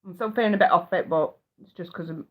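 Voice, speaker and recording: A woman's voice: fairly low pitch, fast, narrow, tinny audio. Middle-aged woman, stuffy-nosed, rambling live-stream host on a webcam or phone. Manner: chatty, warm to viewers, swears casually. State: blocked nose. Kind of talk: casual live-stream chat.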